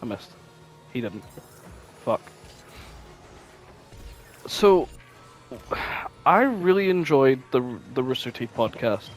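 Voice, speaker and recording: Man, podcast co-host, casual chat, mid-pitched voice, blunt, opinionated, sweary, music in the background. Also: sing-song, slow pace, pauses often.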